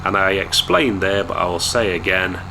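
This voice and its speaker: Hoarse chatty man with a cold. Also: sits low, moderate pace, noisy background. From England. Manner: chatty, relaxed, talks straight to viewers. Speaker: gaming YouTuber. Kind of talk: casual gameplay commentary.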